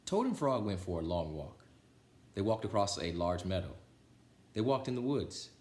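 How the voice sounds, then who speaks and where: A man's voice: deep voice, big pitch swings, moderate pace, a little mellow, reverberant. A man reading a children's storybook aloud as a storytime narrator, with some casual chat to listeners. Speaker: storytime reader.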